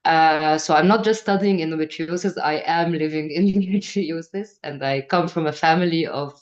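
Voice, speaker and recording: A young adult woman speaking as a panelist in an online discussion, earnest and pausing often with fillers. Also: low-pitched, muffled recording. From Egypt. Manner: earnest, with frequent filler pauses. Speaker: panelist, researcher.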